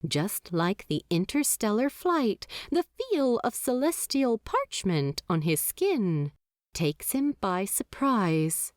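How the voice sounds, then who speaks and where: A woman narrating a fanfiction audiobook in an expressive way, laughing often. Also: medium pitch, slow pace, no room sound, clean studio sound.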